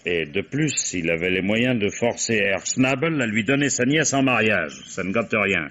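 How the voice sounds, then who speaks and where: An actor playing a shrewd, mock-courteous, methodical German police commissioner interrogating suspects in a vintage French radio mystery. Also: male voice, on the low side, animated, normal speed, fairly bright tone. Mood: probing. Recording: dull old radio-play recording.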